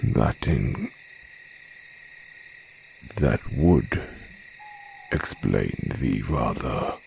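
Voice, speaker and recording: A man voicing a haughty, courteous werebear with predatory charm, in an audio roleplay, speaking in a drawn-out, genteel, condescending way. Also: very deep voice, animated, vocal fry, a little mellow, slight background noise, full character voice. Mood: curious, flirtatious. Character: smooth, haughty male werebear, courteous yet predatory flirt. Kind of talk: audio roleplay monologue addressed to listener.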